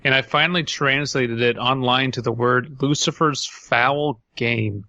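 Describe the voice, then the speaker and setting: A man with a bright, crisp-edged voice at a middle pitch co-hosts a comedy podcast. He moves between reading aloud and mock-indignant, profane asides. The sound is very dry, with no room around it. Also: steady tone, unhurried, no room sound, dull audio. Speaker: podcast host. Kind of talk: casual banter and comedic story reading.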